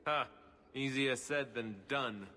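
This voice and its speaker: A man's voice: medium pitch, slow pace, sharp tone, big-room sound. An actor in a video game playing a good-humoured, weary but hopeful king with a dry, casual, joking manner. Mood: wry, regretful.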